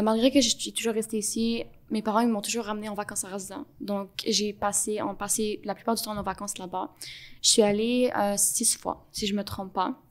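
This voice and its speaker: A young woman with a Quebec French accent explains things earnestly, pausing often on "euh" as she gathers her thoughts. Her pitch sits mid-range for a woman and barely rises or falls, which gives her an even, level delivery. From Montreal, Canada. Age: young adult. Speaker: podcast guest. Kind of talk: interview answers.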